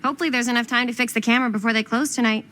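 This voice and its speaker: An actress playing a plucky young amateur filmmaker in a video game drama, talking in a casual, playful, upbeat way. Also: female voice, a little high, fairly even intonation, rapid-fire, a bit bright, a little hiss or hum, lightly acted. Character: plucky, joking teenage girl filmmaker.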